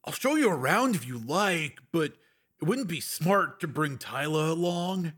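Man voicing a high-pitched, reluctant local man. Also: expressive, measured pace, fairly bright tone, breathy edge, exaggerated voice. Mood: reluctant, uneasy. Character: reluctant, cautious local husband, unpopular and worried. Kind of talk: audiobook character acting.

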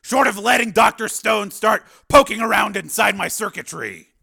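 A man voicing a tough, tormented cyborg man full of bitterness and self-doubt, in a forceful audiobook performance. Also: sits high, moderate pace, gravelly, slightly rough, pitched above the performer's own voice, exaggerated voice. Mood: bitter, agitated. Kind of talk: dramatized audiobook dialogue.

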